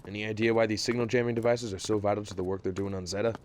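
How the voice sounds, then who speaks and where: A man's voice: lowish voice, flat delivery, very fast, a little mellow, a little hiss or hum, close to an everyday voice. A man playing a frank, dutiful Marine captain in an audio drama, speaking in a direct military manner. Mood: frustrated.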